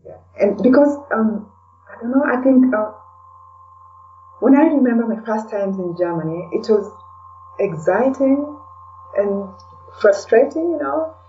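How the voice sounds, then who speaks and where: A woman's voice: a little high, lively intonation, measured pace, pauses often, a little hiss or hum. Woman speaking in a muffled, distant recording. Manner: hesitant, thinking aloud, often adds "you know". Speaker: podcast guest, blogger and podcaster. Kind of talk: casual conversational interview. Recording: dull, lo-fi, distant from the mic.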